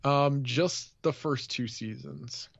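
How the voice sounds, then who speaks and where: A man's voice: mid-range voice, slow pace, gravelly, dry recording. Male podcast co-host on a dull, dry mic. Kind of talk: casual pop-culture podcast chat. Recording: dull, lo-fi microphone sound.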